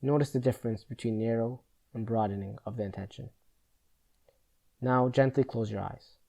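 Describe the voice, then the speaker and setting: A man with a soft, mellow, relaxed voice guides a meditation. His pitch sits in an average male range. He gives gentle, measured instructions as a teacher would. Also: creaky, dull audio.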